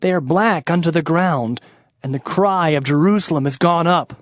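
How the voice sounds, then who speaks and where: An actor playing a grave, lamenting Hebrew prophet in a solemn, declamatory dramatized Bible reading. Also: male voice, on the high side, moderate pace, no room sound, natural voice. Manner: solemn, declamatory, archaic phrasing. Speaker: dramatized scripture reader. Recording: dull audiobook sound with music underneath.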